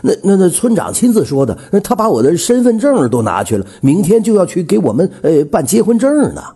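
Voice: mid-range voice, wildly swooping pitch, moderate pace, warm tone, slightly rough, exaggerated voice. A storyteller voicing a timid, simple village man who stammers and hesitates, easily flustered. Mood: hesitant.

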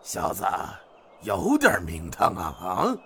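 A man doing a scheming bandit chief from a martial-arts audio story, low and heavily gravelly with a raspy rasp. He mutters his lines quietly and slyly, over faint music. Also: wildly swooping pitch, takes it slowly, full character voice. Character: scheming older male bandit chief, cold and wary.

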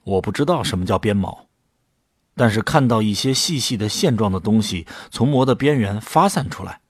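A man's voice: fairly low pitch, expressive, moderate pace, husky, no room sound, clean studio sound. A man acting in an audio drama as an awed, unsure ordinary volunteer who narrates his own story. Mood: curious. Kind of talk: dramatic scripted narration and dialogue.

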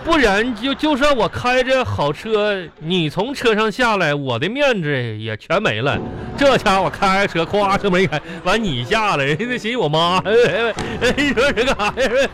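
A man's voice: high-pitched, big pitch swings, normal speed, fairly bright tone, slight background noise. A man acting as a hapless, henpecked husband in a comic radio drama, stammering and sheepish, with a folksy Northeastern Chinese accent. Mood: mock earnest. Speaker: radio comedy host.

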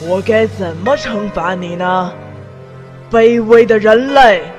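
A man's voice: high-pitched, slow. A man playing a boastful, hot-tempered demon king who rants pompously, in a fan audio drama. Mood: haughty. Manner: pompous, ranting, indignant. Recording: long hall reverb with music.

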